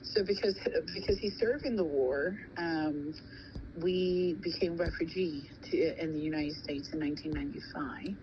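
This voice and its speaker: A woman in her thirties, born in Thailand and raised in California, answering interview questions slowly, with frequent pauses and ums. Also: deep voice. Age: 30s. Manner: hesitant, many pauses and ums. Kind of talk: casual recorded interview. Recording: muffled with steady background noise.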